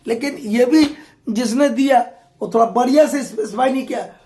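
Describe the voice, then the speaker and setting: A hoarse man, a mining exam tutor talking through old test questions in a conversational way and repeating key points. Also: extremely high pitch, moderate pace, dark tone, roomy sound. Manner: conversational teacher, addresses students fondly, repeats key points. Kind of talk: explaining exam questions in an online class.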